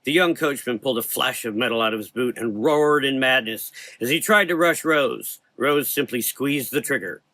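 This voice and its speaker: A man's voice: mid-range voice, moderate pace, few pauses, bright, forceful tone, no room sound. A man reading scripted gothic horror narration as the storyteller.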